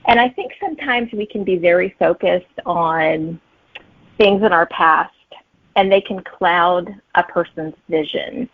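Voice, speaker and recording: A woman with a slightly rough, husky voice talks casually as an interview guest. She sounds thin and narrow, as on a phone line, with little room echo. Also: lowish voice, a little slow. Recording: thin phone-like remote connection.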